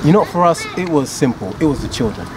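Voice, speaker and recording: A man raised in the UK, explaining calmly and steadily as an interview guest outdoors. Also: on the high side, quick pace, noisy background. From United Kingdom. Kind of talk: casual interview. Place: outdoor garden patio.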